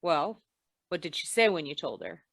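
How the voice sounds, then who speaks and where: A woman acts as a hard-boiled investigator from an old radio noir. The voice sits on the low side, dry and close. The delivery is wry, cool and commanding. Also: very animated, normal speed, dry recording, heavily acted. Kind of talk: old-time radio detective drama, noir narration.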